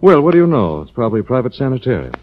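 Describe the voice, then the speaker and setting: An actor playing a calm, reassuring, gentlemanly hero in a 1940s radio drama. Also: male voice, medium pitch, racing, close to an everyday voice. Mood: hopeful. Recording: muffled, noisy 1940s radio recording.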